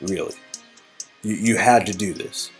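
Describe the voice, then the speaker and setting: Guy acting as a low voiced wisecracking game hero, exasperated, rambly, faint music behind. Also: unhurried, natural voice. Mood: betrayed, sarcastic. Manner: rambling, sardonic, repeats words. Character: sarcastic, exasperated, wisecracking hero of a romance spy story.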